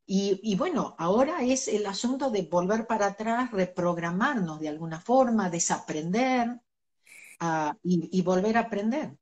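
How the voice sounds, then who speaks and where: A woman's voice: mid-range voice, lively intonation, moderate pace, darkish tone, highs cut off. Earnest middle-aged woman. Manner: earnest, laughs between points. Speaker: interview guest, spiritual teacher. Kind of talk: reflective conversational interview.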